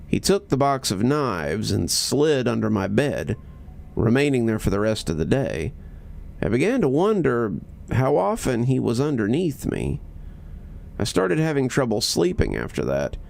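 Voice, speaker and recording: A man's voice: medium pitch, gravelly, darkish tone. A man narrating a horror story in a dramatic, scripted storytelling style.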